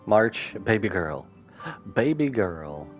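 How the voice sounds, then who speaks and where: A man streaming a game with casual, informal live reactions and expressive asides. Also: lowish voice, slow, dull audio. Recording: faint game music behind.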